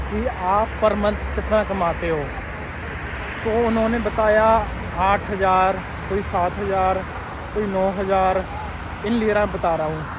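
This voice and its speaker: A man chatting casually as a travel vlogger while walking along a city waterfront, pointing things out, repeating himself loosely. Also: sits high. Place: outdoors on a city waterfront street. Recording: outdoor traffic noise.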